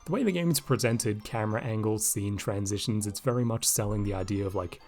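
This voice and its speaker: A man with a slightly raspy voice, casually commenting over gameplay into a desk microphone and thinking aloud with lots of asides. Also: lowish voice, fairly quick, darkish tone, soft background music. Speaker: video game commentator. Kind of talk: casual let's-play commentary.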